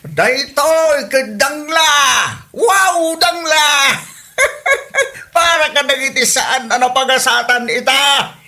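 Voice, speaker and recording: A man's voice: very high voice, slow, pushed and bright, full character voice. A man acting a boisterous, mocking prankster in an exaggerated, exclaiming comedy drama style. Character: boisterous, mocking prankster recording a silly social media video.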